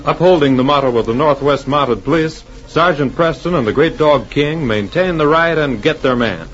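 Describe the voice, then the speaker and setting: A man's voice: on the high side, moderate pace. A man announcing and narrating a scripted 1940s radio program in a formal style. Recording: muffled old radio recording. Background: music.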